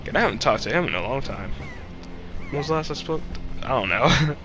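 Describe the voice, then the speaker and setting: Animated, goofy young man commentating a game. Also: medium pitch, fairly quick, lo-fi sound, over music. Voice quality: slightly congested, stuffy nose. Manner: rambling, goofy, jokey asides. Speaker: Let's Play host. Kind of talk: casual gameplay commentary.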